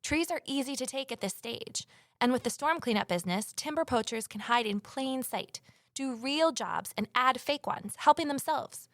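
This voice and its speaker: An actress playing a determined, persuasive amateur sleuth in an audio drama, earnest in both dialogue and first-person narration. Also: female voice, high-pitched, lively intonation, moderate pace, a bit bright, no room sound, studio quality.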